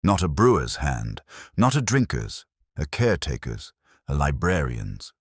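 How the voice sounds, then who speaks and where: A man narrating a mystery story in a slow, hushed, deliberate way. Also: very deep voice, very animated, husky, dry recording, clean studio sound.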